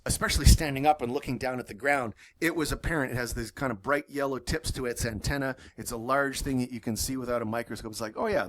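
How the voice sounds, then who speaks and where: A man's voice: medium pitch, fairly bright tone, slight background noise. A man giving a university zoology lecture in an enthusiastic, loosely worded way, with frequent fillers and self-corrections.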